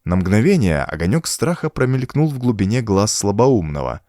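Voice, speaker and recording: A man's voice: on the low side, big pitch swings, moderate pace, mellow, dry recording, studio quality. A man reading a horror story as an audiobook narrator, in a theatrical, suspenseful way.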